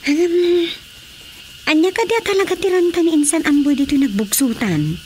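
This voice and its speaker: High-voiced, animated village daughter. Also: female voice, moderate pace, slight background noise. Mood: matter-of-fact. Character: chatty village daughter in a family comedy. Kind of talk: Filipino radio drama comedy acting.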